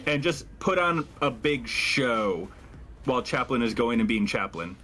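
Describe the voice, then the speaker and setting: Man playing a curt, forceful spaceship captain. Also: mid-range voice, measured pace, slight background noise, voice pushed down. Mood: commanding. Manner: curt, commanding, intimidating. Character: brusque, commanding spaceship captain, putting on an angry show.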